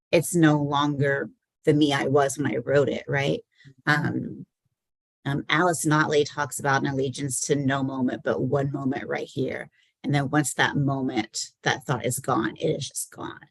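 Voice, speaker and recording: A woman's voice: low-pitched, creaky, husky, no echo, poor audio. A woman poet answering questions about her work in an informal, musing, self-questioning way. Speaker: poet and anthology editor, guest. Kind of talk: poetry reading and conversational Q&A.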